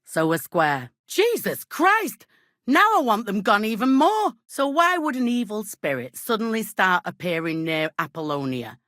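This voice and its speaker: An actress playing a brusque, gossipy medieval innkeeper in a video game, speaking in earthy, colloquial rustic phrases with folksy idioms. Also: female voice, medium pitch, big pitch swings, measured pace, a bit bright, no echo, clean studio sound. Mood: matter-of-fact, brisk, then angry, exasperated. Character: brusque, gossipy medieval village innkeeper woman, plain-spoken, superstitious. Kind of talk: video game character dialogue.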